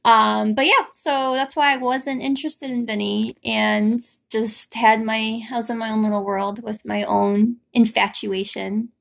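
A woman's voice: on the high side, fairly even intonation, unhurried, gravelly, hardly any pauses. A young woman hosting a casual chat, explaining her side of a story in loose, informal phrasing. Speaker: host telling the couple's story.

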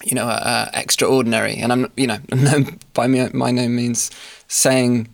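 A man hosting a podcast interview, asking questions in a halting way with many ums and restarts. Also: medium pitch, little pitch movement, gravelly, no echo. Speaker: podcast host.

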